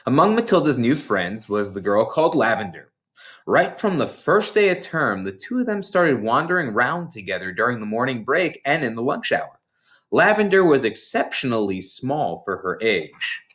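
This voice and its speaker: A man reading a children's novel aloud as an expressive storybook narrator. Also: medium pitch. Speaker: storybook reader.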